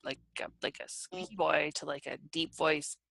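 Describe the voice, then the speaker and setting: A woman's voice: low-pitched, steady tone, normal speed, gravelly, a bit bright. A young woman hosting a podcast, talking animatedly with lots of "like" and the odd swear word. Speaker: podcast host. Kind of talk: casual chat.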